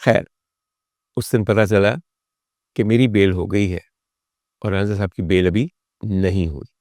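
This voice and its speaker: A man's voice: deep voice, fairly even intonation, measured pace, a little mellow, no room sound, clean studio sound. A Pakistani man telling a personal story as a monologue, in a dramatic, emphatic raconteur's manner. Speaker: talk show guest and storyteller.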